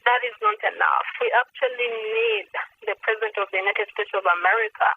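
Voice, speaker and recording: A woman's voice: on the high side, sing-song, brisk, creaky, husky. Ugandan woman activist on a tinny phone line. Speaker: activist guest speaker. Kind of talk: earnest advocacy talk on a conference call. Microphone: telephone.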